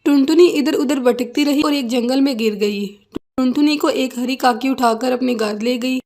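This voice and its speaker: High-pitched woman narrating a cartoon story. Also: moderate pace, a little mellow.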